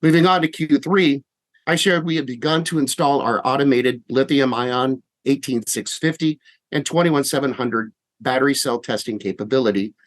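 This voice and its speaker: A man with a medium-pitched voice presents as a company operations executive. He reads prepared remarks for an earnings call, formal and measured in his delivery. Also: poor audio. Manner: formal, measured reading from a script.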